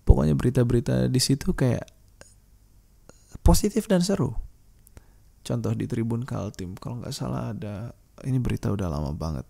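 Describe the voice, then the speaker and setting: A man's voice: mid-range voice, expressive, normal speed, gravelly, pauses often, dark tone. A man hosting a solo podcast, talking in a casual, slangy, rambling way and thinking aloud with hesitations.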